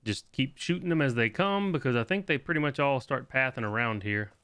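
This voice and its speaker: A man's voice: mid-range voice, lively intonation, fairly quick, pushed and bright, a little hiss or hum. A man giving casual walkthrough commentary on a video game and reading bits of game text aloud. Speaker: gaming video host.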